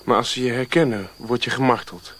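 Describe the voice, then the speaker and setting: Guy playing a touchy telegraph operator in a radio play, regular pitch, blunt, challenging. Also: steady tone, brisk, a bit bright, a little hiss or hum, lightly acted. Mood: surprised, concerned. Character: Western male telegraph operator, irritable, challenging.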